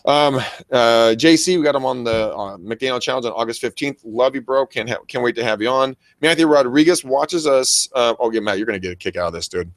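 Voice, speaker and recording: Loud, slangy man, dry close sound. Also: mid-range voice, brisk, few pauses, dry recording. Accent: American. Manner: slangy, bro-ish, enthusiastic, swearing. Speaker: co-host of a real estate training show and agent. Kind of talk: casual unscripted show chat with shout-outs. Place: private office.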